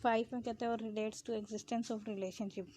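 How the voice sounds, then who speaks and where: A woman with a Pakistani accent teaches in a very even, low-variation voice with a soft, mellow tone. She explains as a lecturer does, dropping English legal terms into her sentences. A light noise sits behind her. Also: measured pace. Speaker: law lecturer.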